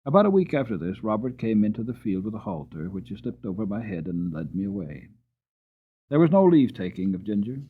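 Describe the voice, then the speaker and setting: A man giving a dramatic, deliberate and expressive storybook narration on a muffled, narrow-sounding recording. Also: on the low side, husky. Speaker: audiobook narrator.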